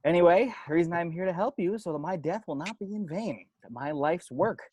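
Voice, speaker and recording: A man's voice: fairly high pitch, animated, fast talker, a little mellow, husky. A man playing a dead young film geek who explains horror rules on a homemade video, emphatic and stop-and-start, in an amateur table read. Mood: earnest, ominous.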